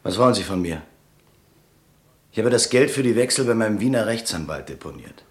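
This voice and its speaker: A man's voice: on the low side, expressive, a little fast, slightly rough. An actor playing a hunted male swindler who narrates in first person and snaps back curtly in a radio play. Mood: defensive. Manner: curt and defensive in dialogue, brooding in narration. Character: hunted male American swindler, tense and fearful.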